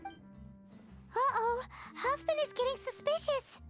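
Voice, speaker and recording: A woman voicing a small, excitable, childlike fairy girl companion in a video game. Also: extremely high pitch, slow pace, exaggerated voice. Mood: alarmed. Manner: exclaiming, refers to self in third person. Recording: loud music under the voice.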